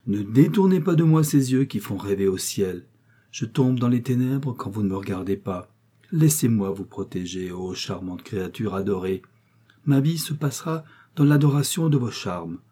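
A man's voice: lowish voice, lively intonation, normal speed, warm tone, husky. A man voicing a fawning young male suitor who makes gushing, theatrical declarations of love in an audiobook reading. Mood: gushing, pleading.

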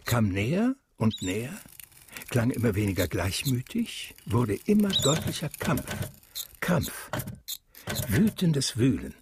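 Mid-pitched man narrating radio drama. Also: very animated, takes it slowly, noisy background.

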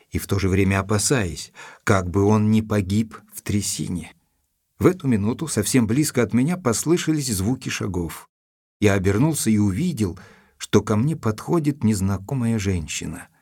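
An actor playing an observant, courteous gentleman who narrates an audio drama in a measured, literary, polite way. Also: mid-range voice, no echo, studio quality, lightly acted.

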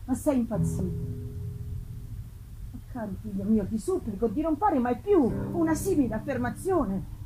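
A woman's voice: fairly high pitch, lively intonation, moderate pace, music in the background, close to an everyday voice, poor audio. Woman reading as worried, pleading old father. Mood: astonished, pleading. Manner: pleading, concerned. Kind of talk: dramatic reading of a gothic novel. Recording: live festival recording with background noise.

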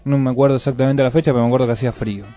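A man's voice: medium pitch, rapid-fire. A man with an Argentine accent hosting a community radio culture show, chatting casually and reading out event listings. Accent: Argentine Spanish. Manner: relaxed, reads event listings conversationally. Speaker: radio host.

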